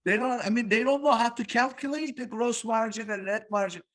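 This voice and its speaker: A man's voice: very high-pitched, fairly even intonation, brisk, fairly bright tone. A man born in Turkey hosting a business interview podcast, talking emphatically and repeating words for stress. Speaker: podcast host.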